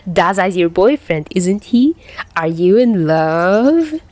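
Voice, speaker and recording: A woman voicing a cocky, teasing teenage schoolboy in an audio story, loud and animated. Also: lowish voice, takes it slowly. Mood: gleeful teasing.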